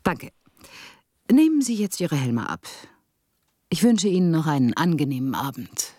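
A woman's voice: low-pitched, big pitch swings, dark tone. An actress playing a composed female scientist formally addressing an audience in a radio play. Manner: formal, measured announcing. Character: composed female scientist presenting a virtual reality experiment.

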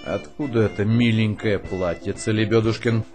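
An actor playing an older army colonel in a radio play, ironic and mock-courteous, with a theatrically polite manner. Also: male voice, lowish voice, steady tone, moderate pace, music in the background, lightly acted. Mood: reproachful.

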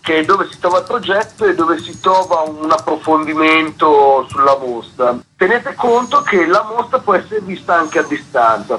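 A man with a somewhat high, raspy voice that has a tense, bright edge. He talks as an interviewed curator, explaining details to listeners. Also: poor audio. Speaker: exhibition curator being interviewed.

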